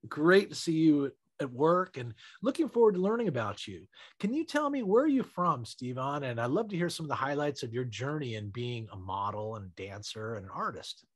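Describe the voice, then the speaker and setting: A man's voice: mid-range voice, sing-song, normal speed. A man hosting an interview, upbeat and encouraging, who often says "you know what I mean". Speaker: interview host. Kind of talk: casual interview.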